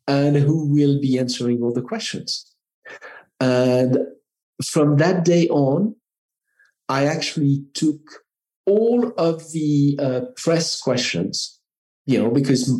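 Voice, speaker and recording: A middle-aged man from France with a French accent, a marketing guest answering interview questions in a measured, halting way with frequent restarts. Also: medium pitch, mellow. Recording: roomy echo.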